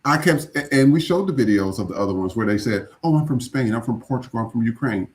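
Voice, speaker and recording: An indignant man from the United States co-hosts a news podcast, talking loosely and with some profanity. His voice sits at a middle pitch for a man. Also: lively intonation, fast. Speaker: podcast co-host. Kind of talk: casual news commentary.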